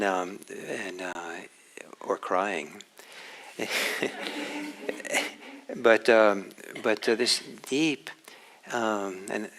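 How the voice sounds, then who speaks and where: A man from Norway gives a dharma talk as a meditation teacher. His voice sits low yet carries a bright, forward edge. He speaks in an unhurried, reflective way and often pauses to restart his thoughts.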